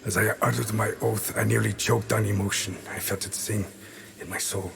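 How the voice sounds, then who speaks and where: A man playing a remorseful assassin haunted by his past, in a grave, halting video game monologue. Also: fairly low pitch, fairly even intonation, vocal fry, fairly bright tone, a little hiss or hum. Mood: solemn regret.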